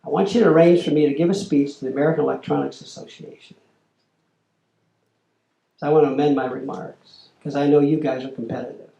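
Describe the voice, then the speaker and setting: A man's voice: fairly high pitch, fairly even intonation, quick pace, gravelly, dark tone, roomy sound, low-quality recording. A man acting out a male government official who concedes and asks a favor. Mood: conciliatory.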